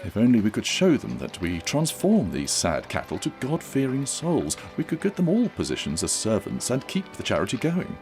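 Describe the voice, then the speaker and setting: A man's voice: mid-range voice, huge pitch swings, moderate pace, hardly any pauses, darkish tone, faint music behind. A man playing a pompous, pious eighteenth-century clergyman in an audio drama, with a sermonizing, condescending manner. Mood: businesslike, condescending. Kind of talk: period audio drama acting, preaching and narration.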